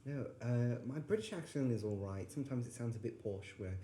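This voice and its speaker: A woman from the United States with a very low, mellow voice that swings widely in pitch as she jokes and teases her co-host. Her talk is casual and full of quick reactions. The room adds a noticeable echo. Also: expressive, a little hiss or hum. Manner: joking, teasing, quick reactions. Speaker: podcast co-host. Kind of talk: casual chat.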